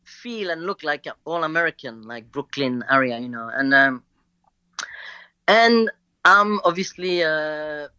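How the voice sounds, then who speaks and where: A man from Belgium, a native French speaker with a French accent, telling a story as a guest over a video call, halting and full of fillers. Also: a little high, lively intonation, pushed and bright, smooth, dry recording. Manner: hesitant, halting with many fillers. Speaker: guest actor. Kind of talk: casual interview storytelling. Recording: lo-fi call line.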